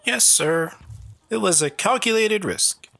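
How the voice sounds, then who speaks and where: A woman's voice: mid-range voice, lively intonation, unhurried, vocal fry, fairly bright tone, dry recording, exaggerated voice. A woman voicing a young farm boy, a cheeky little brother, in an audio drama. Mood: meek.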